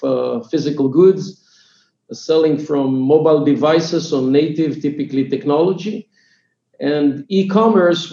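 Israeli man, 50s, tech CEO guest, gravelly and halting, echoey muffled line. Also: a little high, dark tone. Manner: hesitant, many fillers and restarts. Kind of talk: business podcast interview. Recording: echoey, dull remote audio.

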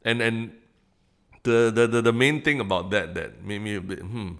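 A man with a Singaporean accent on a podcast, building his points slowly, often restarting words. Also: lowish voice, fairly even intonation, a bit bright. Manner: thoughtful, repeats words while building a point. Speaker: podcast co-host. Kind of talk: casual podcast chat.

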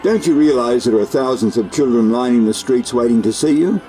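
An older man playing a merry, dignified old gentleman in a radio drama, speaking formally and earnestly with an admonishing streak. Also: mid-range voice, fairly quick, faint music behind, natural voice, rough recording. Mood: indignant.

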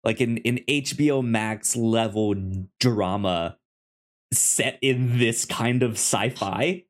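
Slow-talking man, halting and repetitive. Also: medium pitch. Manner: halting, often repeats words and stammers. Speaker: podcast co-host. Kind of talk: casual film review chat.

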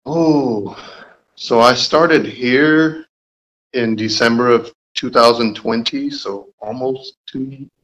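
A young man from Georgia, a lab technologist answering interview questions calmly, pausing often with "um". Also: medium pitch, dull audio. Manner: unhurried, measured, with pauses and "um"s.

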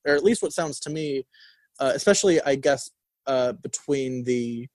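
A man's voice: medium pitch, normal speed, a little mellow, no echo. Male co-host, casual rambling music talk, dry recording. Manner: rambling, many fillers and restarts, laughs easily. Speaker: album review co-host.

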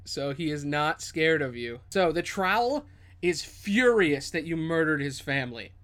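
High-voiced man narrating as game master. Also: expressive, measured pace, a bit bright. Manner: dramatic, descriptive storytelling. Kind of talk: improvised tabletop game narration.

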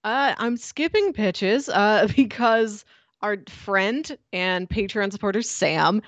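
A woman co-hosting a podcast in casual chat, talking in an animated way and often laughing as she speaks. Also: mid-range voice, takes it slowly, no echo. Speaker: podcast co-host.

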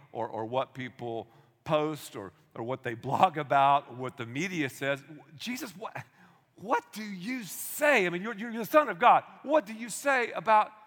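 Male pastor, loud and bright, animated delivery, long church reverb. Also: high-pitched. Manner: energetic, conversational preaching with audience asides. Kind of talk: sermon. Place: large church auditorium.

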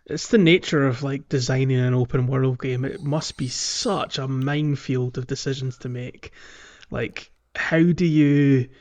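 A man co-hosting a podcast in casual conversation about video games, talking in a halting way as he thinks aloud. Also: medium pitch, fairly even intonation, a little mellow. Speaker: podcast co-host. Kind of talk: casual video game discussion.

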